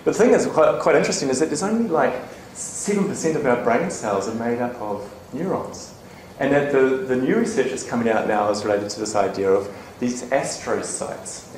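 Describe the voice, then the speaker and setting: A man presenting at a conference to a room of educators, talking in a chatty, animated way and checking in with the audience with quick "okay?" and "right?" tags. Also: mid-range voice. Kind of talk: live conference talk to educators. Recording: echoey conference room, faint ambient noise.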